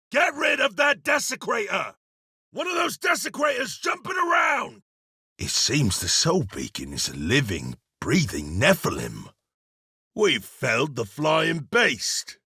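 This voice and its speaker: A battle-hungry video game berserker, with a hard-edged, piercing bright voice pushed high. He is cocky and gruff, barking his lines through a raspy throat. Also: no echo, studio quality, exaggerated voice. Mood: calm, low. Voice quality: gravelly, rough. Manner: forceful shouted battle barks.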